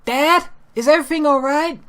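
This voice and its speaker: A man acts out a young female cartoon pony in a storybook reading. The voice is pitched very high and pushed loud, with a distressed, defiant, excitable edge. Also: moderate pace. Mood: worried.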